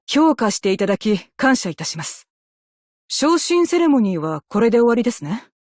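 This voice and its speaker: A woman playing a composed, dutiful female naval officer in a game, speaking with measured, formal military politeness. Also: fairly high pitch, big pitch swings, a little mellow, no echo, studio quality. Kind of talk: scripted game character voice lines in Japanese.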